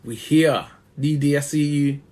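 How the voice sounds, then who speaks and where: A man's voice: on the high side, steady tone, a little slow, dark tone. A man hosting a live-stream interview, chatty and hyping up his audience. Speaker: interview host.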